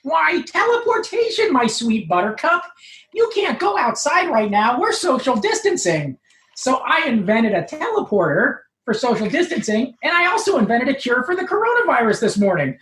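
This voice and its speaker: A performer voicing a smug, nerdy young man with a squeaky, nasal, wheedling sweet-talk. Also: very animated, normal speed, fairly bright tone. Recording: roomy, echoing live room.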